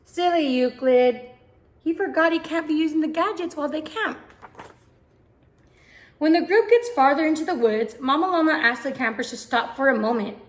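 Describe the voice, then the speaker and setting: A woman reading a picture book aloud to young children, expressive and often putting questions to the listener. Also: high voice, normal speed. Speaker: children's read-aloud host, teacher.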